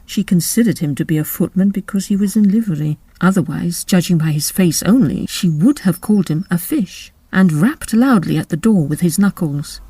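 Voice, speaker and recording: A woman narrates a storybook in audiobook style. Her voice is mellow and a little low, recorded very dry. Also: breathy edge, no echo. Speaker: story reader.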